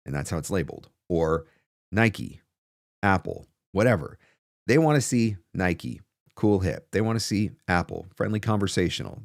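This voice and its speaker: A man's voice: sits low, no room sound. A man in his forties hosting a casual livestream of advice, talking emphatically and repeating phrases for stress, close on a studio microphone. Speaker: host of a livestream on voiceover business. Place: small indoor home studio. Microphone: large studio microphone close in front.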